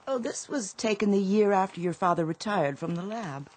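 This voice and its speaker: An actress playing a genteel, contented older mother in a radio drama, fussing gently over her household. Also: medium pitch, animated, moderate pace, a little hiss or hum, close to an everyday voice. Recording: music under the dialogue.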